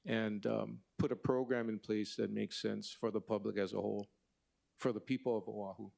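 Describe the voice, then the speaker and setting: A man from city finance staff answering council questions in a halting way that repeats words as he goes. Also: fairly low pitch, steady tone, creaky, a little mellow.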